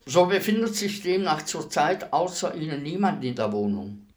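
An actor playing a polite, businesslike state executioner in a German radio play, formal and matter-of-fact. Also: male voice, on the high side, big pitch swings, normal speed. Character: polite state executioner, businesslike, unruffled, deferential hired killer.

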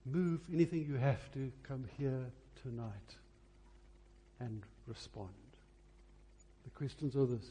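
A man preaching a revival sermon earnestly and deliberately, pressing his questions with repeated emphasis. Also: mid-range voice, dark tone. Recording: husky, very reverberant church hall sound.

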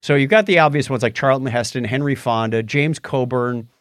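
A man's voice: medium pitch, fairly quick, dry recording. Middle-aged man chatting in a dry close sound. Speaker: podcast co-host. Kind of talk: casual chat, recounting films.

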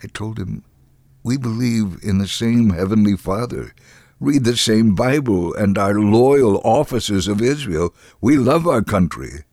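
A man reading a story in a dramatic, expressive way, acting out the quoted dialogue. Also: lowish voice, a little slow, dry recording, clean studio sound. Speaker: reader of a dramatized true story.

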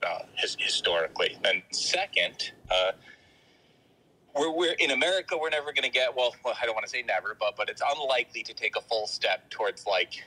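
A man calling in to a live show with a question, phrasing it haltingly and carefully with many qualifications. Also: mid-range voice, lively intonation, pushed and bright, low-quality recording. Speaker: caller.

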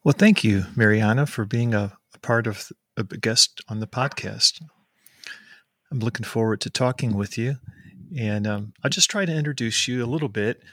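A man's voice: fairly low pitch, moderate pace, fairly bright tone, no echo, clean studio sound, faint music behind. A man hosting a podcast who asks questions in a friendly, hesitant way with many ums. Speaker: podcast host. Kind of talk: conversational interview.

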